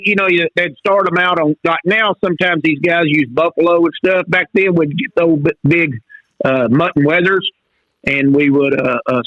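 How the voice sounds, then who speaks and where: A Texan man in his fifties, a college rodeo coach, telling stories in an easygoing drawl with many pauses. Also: a little high, dry recording, lo-fi sound. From North Texas. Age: 50s. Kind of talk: casual podcast interview.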